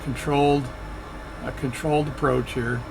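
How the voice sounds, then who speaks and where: A man's voice: mid-range voice, little pitch movement, normal speed, vocal fry, background noise. A man narrating his flight sim session in a chatty, rambling way, often correcting himself mid-sentence. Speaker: flight sim video creator. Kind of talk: casual narration while playing a flight sim.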